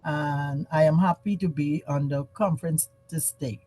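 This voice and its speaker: A woman's voice: sits low, steady tone, normal speed, dark tone. A woman from the Bahamas, introducing herself on a video call.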